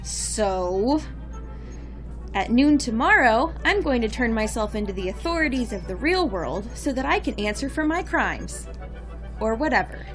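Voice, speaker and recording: An actress plays a breezy female leader in a comic audio drama, flippant and sarcastic. Her voice is fairly high and animated, over a music bed. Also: unhurried. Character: flippant, sarcastic woman leader feigning ditzy remorse. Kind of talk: audio drama comedy acting.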